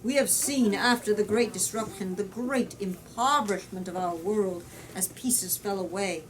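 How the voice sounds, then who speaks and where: A woman reading aloud the part of an earnest male statesman who pleads in solemn, deliberate storybook oratory. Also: medium pitch, a little mellow, pitched below the performer's own voice, close to an everyday voice. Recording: distant mic with background noise.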